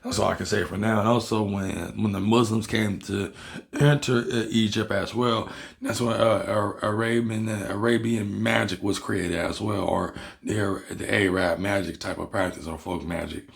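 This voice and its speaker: A man from Barbados with a Bajan accent, hoarse from losing his voice, explaining a topic in a rambling, repetitive casual monologue as a video host. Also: fairly low pitch, steady tone, normal speed. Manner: rambling, repeats himself and restarts words. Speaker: hoodoo practitioner and video host.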